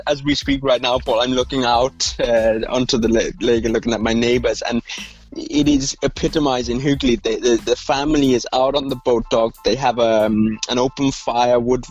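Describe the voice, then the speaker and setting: Chatty man with softened Welsh accent. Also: medium pitch, rough recording. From Wales, Rhondda Valleys. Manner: chatty, rambling with restarts and light laughs. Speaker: podcast guest. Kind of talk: casual conversation.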